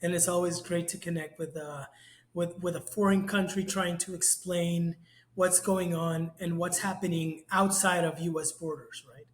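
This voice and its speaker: A man's voice: high voice, fairly even intonation. Man explaining trade in an echoey room. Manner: measured, explanatory, frequent "right?". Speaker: trade expert guest. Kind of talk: explanatory interview talk.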